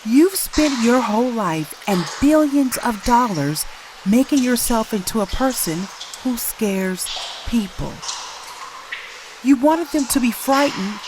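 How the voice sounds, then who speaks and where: A woman's voice: on the high side, lively intonation, takes it slowly. A woman playing a glamorous, earnest lover of a vigilante, dramatic in a motion comic. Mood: earnest, pleading. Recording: clear background noise.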